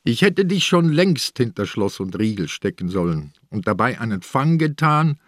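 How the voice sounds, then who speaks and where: An actor playing an old, shrewd police inspector in a radio crime drama, with a Swiss accent and a dry, chiding, matter-of-fact manner. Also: male voice, medium pitch, very animated, normal speed, no room sound. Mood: grumbling, chiding. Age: older.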